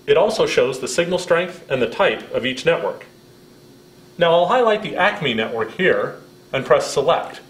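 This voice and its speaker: A middle-aged American man presents a scripted demonstration in a roomy-sounding space. His voice sits slightly high and moves with plenty of melody. He explains each step in a friendly, instructional manner. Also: animated, normal speed, fairly bright tone. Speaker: product presenter.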